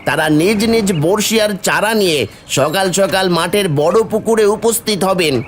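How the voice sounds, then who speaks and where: A man's voice: high-pitched, normal speed, a little hiss or hum, natural voice. A man voicing a deferential landlord's steward and village crier in a cartoon, with a declamatory manner. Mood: proclaiming. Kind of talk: Bengali cartoon story acting.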